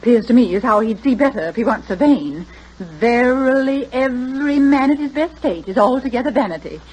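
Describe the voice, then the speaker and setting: A querulous, pious country woman in a vintage radio play. Her voice is husky and fairly high, swinging up and down as she preaches and grumbles in homespun phrases. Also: expressive, fairly quick, darkish tone, poor audio. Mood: prickly, righteous. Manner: folksy rural speech, querulous, preachy. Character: prickly, pious small-town woman patient, complaining. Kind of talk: old-time radio drama acting.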